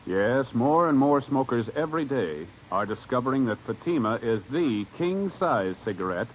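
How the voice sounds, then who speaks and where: A man's voice: medium pitch, animated, normal speed, slight background noise. An American man announcing formally from a script in 1950s radio style. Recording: tinny vintage radio recording.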